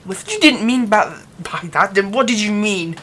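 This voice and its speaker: A man voicing a grieving, reclusive young man in an ASMR audio roleplay, speaking in soft half-whispers that break into bitter, scornful outbursts. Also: high-pitched, expressive, moderate pace, full character voice.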